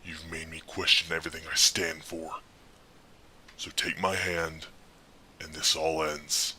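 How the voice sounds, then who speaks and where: An actor playing a grim, desperate, world-weary masked vigilante in an audio drama, with a gravelly, intense delivery. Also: male voice, little pitch movement, pushed and bright, a little hiss or hum, heavily acted. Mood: pleading, resolute. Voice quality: gravelly, husky growl. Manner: intense, deliberate, low and pleading. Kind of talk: fan audio drama acting.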